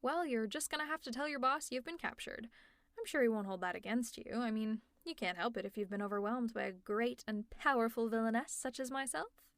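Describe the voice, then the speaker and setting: A woman's voice: a little high, a little fast, dry recording, clean studio sound, lightly acted. A woman playing a teasing, exasperated, secretly caring female supervillain in an ASMR audio roleplay, with a sarcastic, conversational delivery. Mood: wry, insistent.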